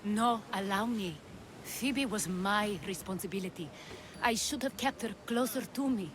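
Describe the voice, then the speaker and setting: A woman playing a composed, sorrowful ally who gives guidance in a video game, speaking in a measured way. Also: mid-range voice, close to an everyday voice. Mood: regretful. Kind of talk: video game cutscene acting. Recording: clear ambient noise behind.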